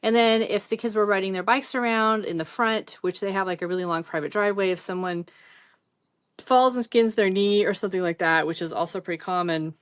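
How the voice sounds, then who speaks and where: A woman's voice: mid-range voice, brisk, clear, resonant tone, no echo. Casual woman product reviewer, dry close sound. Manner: rambling, conversational, informal.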